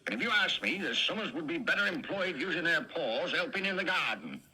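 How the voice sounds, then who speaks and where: Gruff old country gardener played by a man, grumbling, bright voice. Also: a little high, sing-song, brisk, slight background noise, exaggerated voice, rough recording. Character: grumpy old male gardener.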